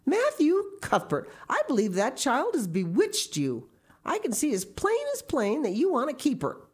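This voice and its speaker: A woman voicing a stern, sharp-tongued older woman from a storybook, scolding in a brisk, curt, emphatic way. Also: low-pitched, animated. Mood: curt, firm. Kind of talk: storybook character reading.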